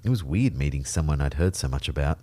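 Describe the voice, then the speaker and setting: A man's voice: very deep voice, expressive, quick pace, dark tone, husky, no room sound, heavily acted. An actor playing an anxious everyman who narrates a sci-fi audio drama in a wry, understated way. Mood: wry, uneasy. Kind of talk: scripted first-person audio drama narration.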